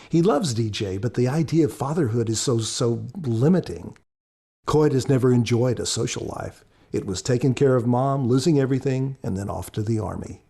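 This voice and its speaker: A man's voice: medium pitch, creaky, mellow, breathy edge. A man speaking as an author on a podcast, giving scripted commentary.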